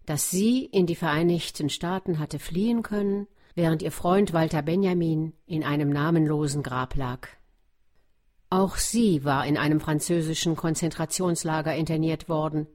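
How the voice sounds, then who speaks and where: A woman's voice: low-pitched, moderate pace, a little mellow. A woman reading scripted narration for a radio documentary.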